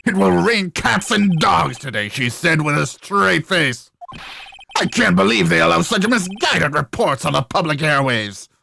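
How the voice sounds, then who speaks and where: Game role: fussy, vindictive middle-aged doctor played by a man, animated, emphatic, gravel in the voice. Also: fairly high pitch, a little slow, a little hiss or hum, heavily acted. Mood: indignant. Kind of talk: fan voice-over of a video game.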